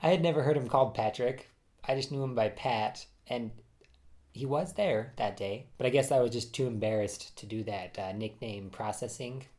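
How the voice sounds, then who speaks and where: A man's voice: medium pitch, moderate pace, darkish tone. A man casually telling a personal story in a chatty, self-deprecating way, often laughing at himself.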